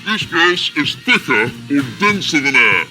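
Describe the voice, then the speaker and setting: Dude with a deep gas voice, harsh sharp tone. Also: male voice, big pitch swings, unhurried, soft background music, poor audio. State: voice deepened by inhaled dense gas.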